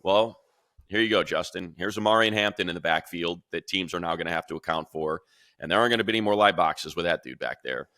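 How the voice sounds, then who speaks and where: A man co-hosting a sports talk show as a radio play-by-play announcer, energetic and rambling, talking in a studio. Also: fast talker, no room sound. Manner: energetic, rambling, mimics fans in a high voice.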